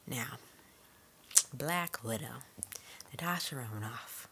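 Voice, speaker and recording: A woman's voice: extremely low pitch, expressive, takes it slowly, pushed and bright, a little hiss or hum. A woman giving a casual, conversational movie review in an informal, rambling way, recorded at home. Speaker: video reviewer.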